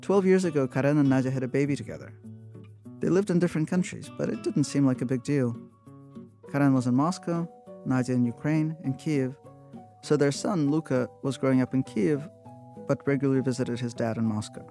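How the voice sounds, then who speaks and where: Narrator from russia, gravelly, mellow tone, measured storytelling, faint guitar music behind. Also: male voice, mid-range voice. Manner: measured, matter-of-fact storytelling. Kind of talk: scripted radio narration.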